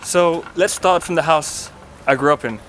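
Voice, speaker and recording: Higher-voiced man vlogging over music. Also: normal speed. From Corfu, Greece. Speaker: vlogger and presenter. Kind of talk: casual to-camera personal narration.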